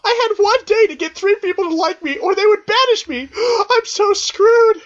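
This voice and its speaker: Someone doing a high-pitched, over-dramatic cartoon youngster. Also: sing-song, quick pace, dull audio. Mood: panicked.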